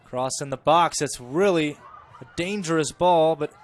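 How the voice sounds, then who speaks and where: A man giving chatty live play-by-play of a college soccer match, correcting himself and rising in pitch and volume when the play gets dangerous. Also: a little slow. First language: English. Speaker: play-by-play commentator.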